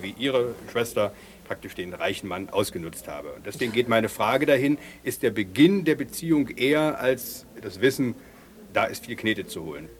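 A man's voice: medium pitch, slight background noise. A man asking probing questions in a discussion, speaking as a questioner.

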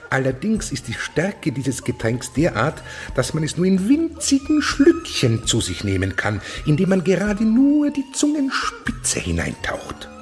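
Haughty zealot eunuch official, radio play, extremely animated declamation, contemptuous, faint music behind. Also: male voice, fairly high pitch, measured pace, few pauses. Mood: haughty regret. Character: fanatical, haughty male eunuch official ruling over monsters. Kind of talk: German radio play acting.

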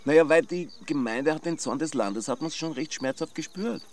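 A man's voice: a little high, fairly quick, natural voice. A man playing a frank, gossipy village local with an Austrian accent, wryly matter-of-fact, in a radio drama. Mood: wry, explanatory. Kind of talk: naturalistic radio drama dialogue. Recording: clear background noise.

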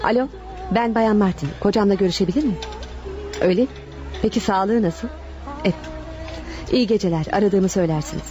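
A woman's voice: mid-range voice, fairly quick, long pauses, warm tone, soft background music, lightly acted. A woman acting in a radio play as a seductive, somewhat impatient unfaithful wife with a coaxing manner. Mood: polite. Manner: coaxing, flirtatious.

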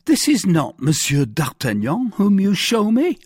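A man's voice: mid-range voice, very animated, a little slow, darkish tone, slightly rough, no room sound, studio quality, voice pushed up, full character voice. A man voicing a timid, anxious husband in an audiobook, protesting and pleading in a whiny, over-earnest way. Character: timid, pleading middle-class husband, anxious and eager to placate. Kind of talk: dramatized audiobook reading.

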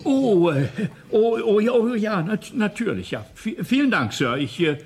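An actor playing a proud, flustered old planet designer in a radio play, stammering, deferential and pompous. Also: male voice, sits high, sing-song, measured pace.

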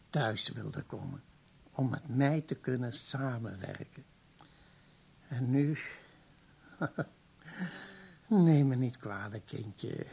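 A man's voice: mid-range voice, vocal fry, slightly rough, exaggerated voice. An actor playing a kindly, wistful professor in his seventies in a radio play, hesitant and courteous as he rambles on. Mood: wistful, apologetic. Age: 70s. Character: kindly, wistful old professor heading a provincial hospital. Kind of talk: Dutch radio play acting.

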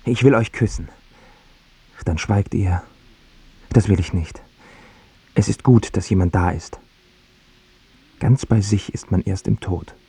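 An actor playing a confused, dying man with failing memory, husky and stubbornly repetitive, in a German radio drama. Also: low voice, a little slow, long pauses, dark tone, no room sound, full character voice. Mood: reflective, resigned.

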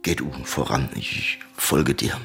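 An actor playing a haughty, doomed middle-aged usurper king in a radio play of Greek tragedy, in gravelly, declamatory verse. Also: rumbling low, slow pace, fairly bright tone, soft background music, full character voice. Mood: bitter, defiant. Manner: theatrical, declamatory verse delivery. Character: doomed usurper king, haughty, horrified, stalling before death.

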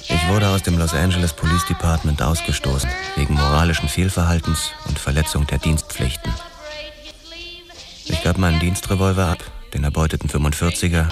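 A disgraced detective narrates in a very deep voice over prominent music. He is sober, measured and resigned, telling his own story in a noir radio play. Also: fairly even intonation. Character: world-weary disgraced American police detective narrating his downfall. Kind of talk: scripted first-person radio drama narration.

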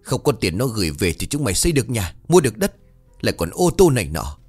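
Audio-story role: older village woman, sharp-tongued, ringing and accusatory, raised and swooping pitch, music underneath male narrator acts her out. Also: sing-song, quick pace, slightly rough. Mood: furious defiance. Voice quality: loud, ringing. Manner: wailing, accusatory. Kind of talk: dramatic audio-story dialogue.

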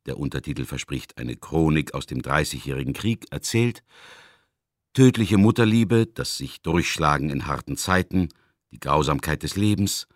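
A man presenting scripted literary commentary in a measured, articulate and emphatic way. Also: extremely low pitch, lively intonation, no echo, studio quality. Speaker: radio presenter introducing a classic play.

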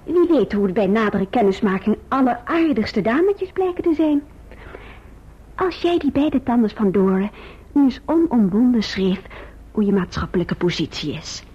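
An actress playing a gentle, sensible young woman in a radio drama, soothing and measured. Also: on the high side, lively intonation, husky. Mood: gentle, reassuring. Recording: dull old radio recording.

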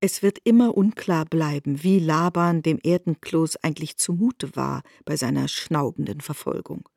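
Female narrator with a husky, slightly low voice for literary audio drama. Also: moderate pace, darkish tone, no room sound, clean studio sound.

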